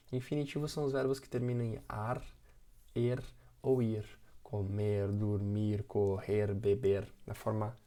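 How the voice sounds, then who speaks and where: A man's voice: medium pitch, warm tone. A man teaching an English lesson in a video, explaining patiently and often checking in with short tag questions.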